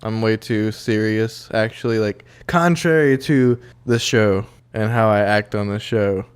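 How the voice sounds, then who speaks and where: Smooth-voiced young man, loose chat. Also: on the low side, measured pace. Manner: loose and profane, with restarts and "like". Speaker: podcast co-host. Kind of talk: casual chat.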